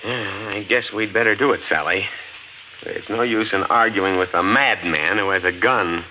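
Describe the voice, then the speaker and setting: An actor playing a blunt, skeptical, protective husband with an American accent in 1950s radio drama style. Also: male voice, lowish voice, moderate pace, close to an everyday voice. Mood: suspicious, indignant. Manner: blunt, challenging. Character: skeptical, protective husband, ordinary level-headed American man. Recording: dull, noisy 1950s radio recording.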